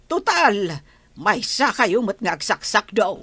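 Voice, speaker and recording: A woman's voice: medium pitch, sing-song, slow pace, bright, forceful tone, slightly rough, no echo, full character voice. A woman playing a nosy, pestering village neighbour with an Ilocano accent in a comedy radio drama.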